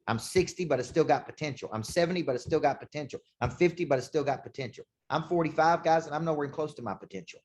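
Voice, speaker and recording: A man, a sales coach, giving an intense motivational talk with punchy repetition, slowing down to hammer key points. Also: medium pitch. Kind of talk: motivational talk to a show host. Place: condo.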